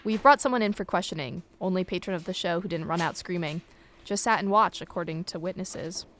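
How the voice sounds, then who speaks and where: A woman's voice: on the low side, brisk, fairly bright tone, a little hiss or hum, natural voice. A woman playing a supportive police colleague in an audio drama, briefing in an encouraging, matter-of-fact way. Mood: brisk, encouraging.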